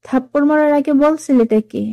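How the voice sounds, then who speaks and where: A woman playing a stern, suspicious mother in an audio story, scolding and questioning in a sharp, reproachful way. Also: high voice, moderate pace, no room sound. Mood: angry, suspicious. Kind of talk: Bengali audio story acting.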